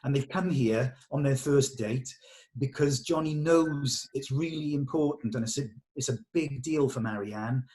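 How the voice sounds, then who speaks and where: A man's voice: a little high, a little mellow. Man directing a script read-through. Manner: explanatory, directive. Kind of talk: casual script discussion with actors.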